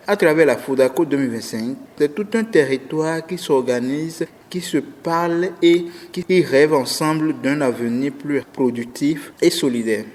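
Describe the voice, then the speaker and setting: A man's voice: mid-range voice, darkish tone. A man with a Beninese French accent reading a scripted news report as its narrator. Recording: long hall-like reverb.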